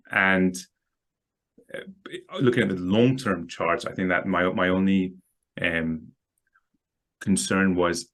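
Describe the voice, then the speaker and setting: A man's voice: sits low, fairly bright tone. A man guest talking about markets in a casual interview, hesitant, with many ums and repeated words.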